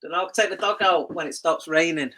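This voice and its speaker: A man in his fifties chats easily as a podcast guest, with dry northern humour. His voice is a touch high and has a bright, forceful edge. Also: brisk. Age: 50s. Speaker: podcast guest, graphic designer. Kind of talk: casual chat.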